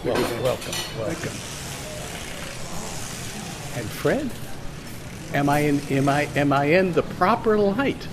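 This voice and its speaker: A man's voice: a little high, sing-song, takes it slowly, pauses often, very noisy. A man speaking informally as a committee chairman at a club meeting, recorded on a room camera microphone.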